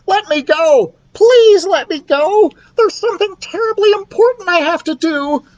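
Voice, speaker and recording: A man plays a tiny young storybook mouse, brave but scared. The voice is pushed high and swoops about, urgent and pleading, with every phrase an exclamation. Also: expressive, measured pace. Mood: desperate. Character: small, desperate young male mouse, brave but frightened.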